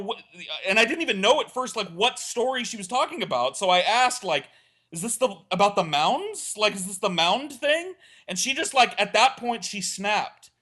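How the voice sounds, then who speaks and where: A man telling a crude, rambling comic story on a casual podcast, acting out what was said. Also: sits high. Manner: rambling, crude, animated storytelling with acted-out quotes. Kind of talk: casual comedic anecdote.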